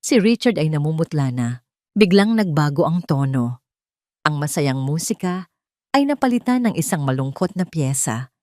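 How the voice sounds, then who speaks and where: A Filipino woman narrates a scripted audio drama. Her voice sits low, and the recording is dry, with very little room sound. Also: very animated, moderate pace, darkish tone, no echo.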